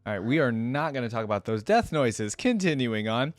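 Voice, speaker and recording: A man's voice: medium pitch, very animated, a little fast, no echo, soft background music. A man giving informal, rambling reaction commentary as a video host.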